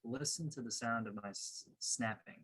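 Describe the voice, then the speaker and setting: A man leading a guided meditation as a coach, giving measured, instructive directions in a husky voice. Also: mid-range voice, a bit bright.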